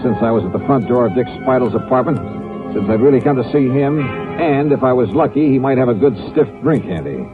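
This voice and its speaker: An actor playing a tough, persistent investigator in an old radio detective drama, with clipped questioning and wry narration. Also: male voice, medium pitch, animated, fairly quick, close to an everyday voice. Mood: hard-boiled narration. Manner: clipped, probing questioning and hard-boiled narration. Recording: narrow-band old radio recording, music behind.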